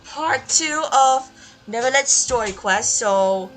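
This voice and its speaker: Female gaming host, unhurried delivery, reads game text aloud and comments, light music behind. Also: fairly high pitch, animated, a bit bright, poor audio. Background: faint game music.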